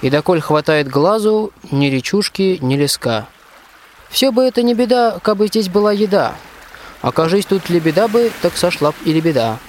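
A man's voice: high-pitched, lively intonation, moderate pace, slight background noise. A male amateur actor playing a gloomy, hungry stranded soldier, grumbling in rhyme in a folk-tale radio play. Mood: glum grumbling.

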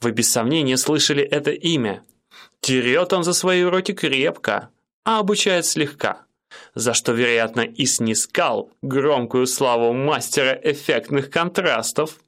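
A man's voice: medium pitch, expressive, measured pace, a bit bright. A young man playing an aspiring painter in a radio play, speaking in an ironic first-person tone. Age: young adult.

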